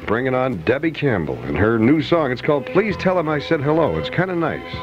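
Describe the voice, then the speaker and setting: A man's voice: medium pitch, very animated, a little fast. A man working as a radio DJ, chatting breezily through weather and announcements with conversational asides on a 1970s aircheck. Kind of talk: casual live radio DJ patter with weather and announcements. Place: radio studio. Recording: dull 1970s AM radio aircheck, music behind.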